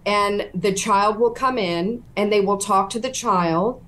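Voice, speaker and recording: A middle-aged woman speaking as a podcast guest, with a voice of middling pitch for a woman. She recounts her experience earnestly, step by step. She sounds steady and engaged in the conversation. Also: a little slow. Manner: earnest, storytelling. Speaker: whistleblower guest. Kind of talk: interview.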